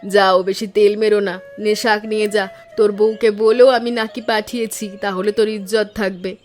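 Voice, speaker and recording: A woman playing an affectionate middle-aged village aunt in an animated story, speaking tenderly with homely, fond scolding. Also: medium pitch, moderate pace, faint music behind, natural voice. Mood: teasing, firm.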